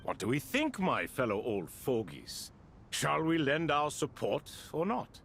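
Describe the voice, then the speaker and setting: Gravelly, animated ancient elder. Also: male voice, fairly high pitch, a little slow, a little hiss or hum, full character voice. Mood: wry, playful. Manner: theatrical, old-fashioned courtly phrasing. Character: ancient male being, grand, playful and wry elder. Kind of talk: game voice acting.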